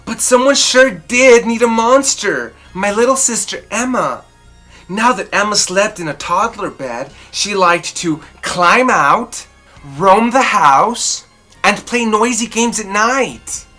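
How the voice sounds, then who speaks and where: A woman storyteller reading a children's picture book aloud expressively, with dramatic, drawn-out emphasis. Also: medium pitch, animated, a bit bright, faint music behind.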